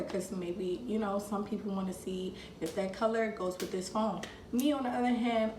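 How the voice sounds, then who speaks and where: A woman's voice: mid-range voice, darkish tone, slight background noise. Chatty woman vlogger in an echoey room. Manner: chatty, addresses viewers as you guys. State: getting over a cold. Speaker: vlogger host. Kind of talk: casual unboxing review.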